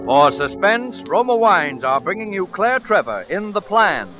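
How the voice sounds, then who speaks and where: High-pitched dramatic male radio announcer. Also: very animated, unhurried. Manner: formal, dramatic. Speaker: program announcer. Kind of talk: 1940s radio suspense introduction. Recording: old hissy radio recording with music.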